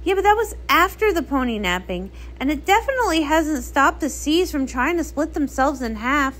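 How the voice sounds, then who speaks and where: A woman's voice: sits high, expressive, normal speed, fairly bright tone, a little hiss or hum. A woman voicing a bubbly young pony princess, chatty, girlish and emphatic. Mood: worried.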